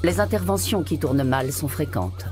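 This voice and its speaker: A woman giving measured, scripted documentary narration. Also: deep voice, expressive, warm tone. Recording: voice-over over music.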